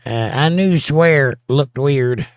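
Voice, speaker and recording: A man's voice: medium pitch, slow pace, no room sound, studio quality. A man with a Southern accent hosting a livestream, reading viewer comments in a casual, teasing way and chuckling often. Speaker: livestream host reading chat. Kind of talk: casual chat.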